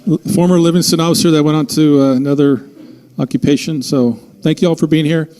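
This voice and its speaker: A man making informal, somewhat halting remarks as an official at a public meeting. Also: on the high side, steady tone, warm tone, big-room sound. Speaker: official introducing police staff at a meeting. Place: indoor city council meeting.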